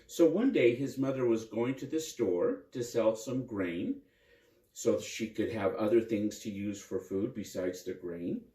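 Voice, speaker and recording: A man narrating a children's story in an expressive, simple way, with dramatic repetition. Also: on the low side, a little slow. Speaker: priest and storyteller. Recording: roomy echo, distant microphone.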